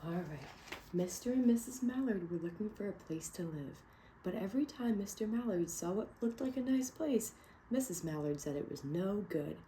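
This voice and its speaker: Woman teacher, picture-book read-aloud, encouraging, asks the class questions; mellow low tone. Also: a little hiss or hum, low-quality recording. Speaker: teacher reading to children.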